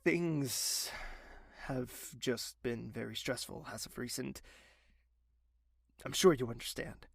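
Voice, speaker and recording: A man voicing a stressed, defensive young royal in an audio drama, with a gravelly, slow delivery that keeps stalling and tensing up. The recording is dry and close. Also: mid-range voice, no room sound, heavily acted. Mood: guarded. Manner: halting, tense, guarded. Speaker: voice actor. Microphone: home recording.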